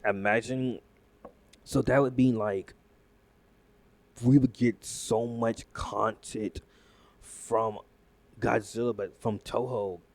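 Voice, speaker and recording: A man reacting to news in casual commentary, excitable and rambling, full of fillers and restarts. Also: medium pitch, slow, creaky, long pauses. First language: not Japanese. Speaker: YouTube commentator.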